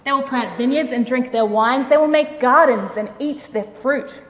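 A woman's voice: sits high, normal speed, lo-fi sound, close to an everyday voice. A woman playing the stern, commanding voice of God in a declamatory dramatic scripture reading. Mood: resolute, promising. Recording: echoey hall with long reverb.

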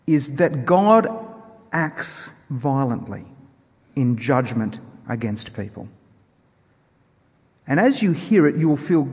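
A man's voice: medium pitch, a little mellow. Male preacher in an echoey hall. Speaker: preacher introducing a Bible reading. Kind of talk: informal sermon introduction to a congregation. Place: church hall before a congregation. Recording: echoey hall with long reverb.

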